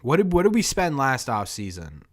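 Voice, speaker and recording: A young man with a New England accent and a hoarse voice at a middle pitch. He hosts a loose live-stream sports chat, thinking aloud, rambling and chuckling as he answers his viewers. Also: wildly swooping pitch, normal speed. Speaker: sports podcast host.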